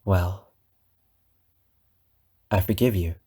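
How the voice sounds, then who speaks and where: A man acts out an obsessive male captor in an intimate ASMR drama. His voice sits a little low and moves very slowly, with weighty, deliberate pauses. He sounds wounded and possessive, lovesick with a menacing edge. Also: dark tone, breathy edge, heavily acted. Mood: calm, coaxing. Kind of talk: ASMR roleplay monologue addressed to the listener.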